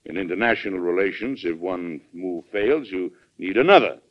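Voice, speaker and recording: Firm American statesman, lowish voice, emphatic and blustery, old radio play with muffled lo-fi sound. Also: animated, moderate pace. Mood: thoughtful, earnest. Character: resolute, blustery American president, firm and brisk. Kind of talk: old-time radio drama acting. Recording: dull old radio broadcast recording.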